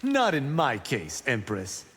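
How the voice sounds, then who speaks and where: A young Shaolin fighter full of playful confidence. His mid-pitched, animated voice banters cockily in a reverberant game mix. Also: takes it slowly, slightly rough, full character voice. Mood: teasing. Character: cocky, wisecracking young Shaolin monk fighter. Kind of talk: video game character acting.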